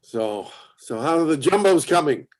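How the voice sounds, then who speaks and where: A man playing a gruff, hard-of-hearing inventor in a play reading, folksy and cagey, with rambling, halting, swearing speech. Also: a little high, animated, lightly acted, poor audio. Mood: elated. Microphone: video call.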